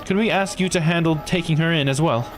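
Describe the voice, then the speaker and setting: A man's voice: a little high, quick pace, soft background music, pitched above the performer's own voice, lightly acted. A man voicing a composed, formal investigator in a visual novel, speaking politely and precisely.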